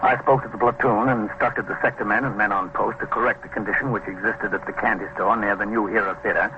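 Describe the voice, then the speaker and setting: An actor playing a terse, matter-of-fact American police captain, giving precise procedural narration in an old-time radio drama. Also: male voice, lowish voice, steady tone, fast, close to an everyday voice. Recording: muffled, slightly noisy vintage radio recording.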